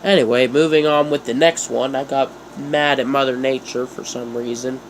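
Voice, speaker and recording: A young man talks with a blocked, stuffy nose from a cold, rambling from one thought to the next with jokey, self-mocking asides. His pitch sits in the middle for a man. A faint air conditioner hum runs under his voice. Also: steady tone, moderate pace, poor audio. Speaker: YouTuber. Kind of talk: casual vlog talk.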